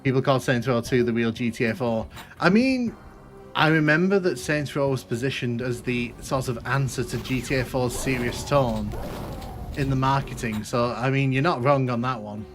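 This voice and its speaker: Northern English man, Manchester accent, relaxed gaming streamer talking over clear game music, trails off and restarts his sentences. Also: medium pitch, moderate pace. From Northern England. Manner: rambling, self-correcting, chatty. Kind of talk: casual live-stream chat while playing.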